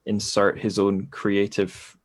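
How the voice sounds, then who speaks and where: A man's voice: lowish voice, completely flat delivery, takes it slowly. A man hosting a casual podcast discussion in a tentative, self-deprecating way. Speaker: podcast host.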